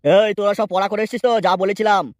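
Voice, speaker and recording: A man's voice: high-pitched, flat delivery, racing, no echo, studio quality, natural voice. An actor voicing a strict, hot-tempered male schoolteacher in a cartoon, scolding and threatening his pupils. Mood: stern. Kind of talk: cartoon voice acting.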